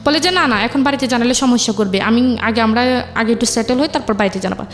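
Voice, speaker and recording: Higher-voiced young Bangladeshi woman telling her story. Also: quick pace, a little hiss or hum. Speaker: interview guest telling her life story. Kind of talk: conversational personal storytelling. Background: music.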